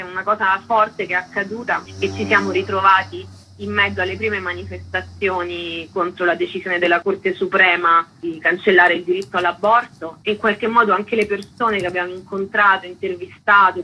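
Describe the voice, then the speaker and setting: Female theatre artist for a radio interview: sharp, bright tone, unscripted delivery, faintly noisy recording. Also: lowish voice. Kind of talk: spontaneous radio interview talk. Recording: clearly noisy background.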